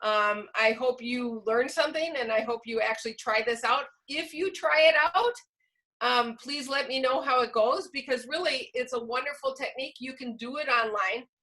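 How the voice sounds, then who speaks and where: A woman's voice: fairly high pitch, normal speed, bright, forceful tone. A woman giving informal closing remarks as a workshop presenter on a video call.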